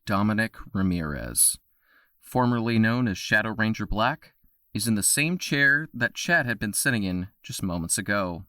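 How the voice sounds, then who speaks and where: A male narrator reads a scripted audio drama. His voice is on the low side, with a bright, forceful edge. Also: expressive, moderate pace, no echo, studio quality.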